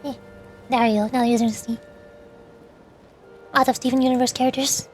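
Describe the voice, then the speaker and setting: A woman's voice: fairly high pitch, flat delivery, normal speed, darkish tone, soft background music. A young woman streamer chatting casually with her viewers, rambling as she shows old screenshots. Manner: chatty, rambling, reads chat aloud.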